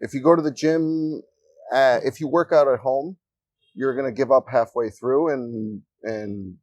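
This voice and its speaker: A man from Ecuador speaking as a guest in a casual interview in a coworking space, relaxed and joking as he tells stories. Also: mid-range voice, unhurried, no echo, poor audio. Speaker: guest, freelancer.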